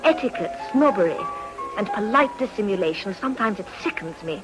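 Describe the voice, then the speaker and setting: A woman's voice: medium pitch, expressive, brisk, music in the background, natural voice, poor audio. Spirited young upper-class English lady, acted by a woman. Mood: fervent. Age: young adult. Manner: poised, articulate, fervent. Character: spirited, high-minded young society lady. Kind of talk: period radio drama acting.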